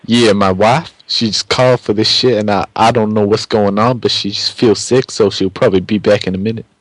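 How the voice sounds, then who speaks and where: A man's voice: lowish voice, steady tone, fairly quick, no echo, low-quality recording. A man making a prank call, chatting casually in a flippant, slangy way. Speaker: prank caller.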